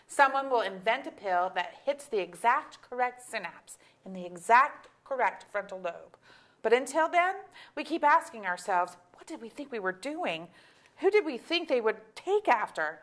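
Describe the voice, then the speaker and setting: A woman's voice: on the high side, animated, moderate pace, a bit bright. A woman acting an exasperated, candid middle-aged mother in a staged comedy reading, with a wry, emphatic delivery. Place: auditorium stage.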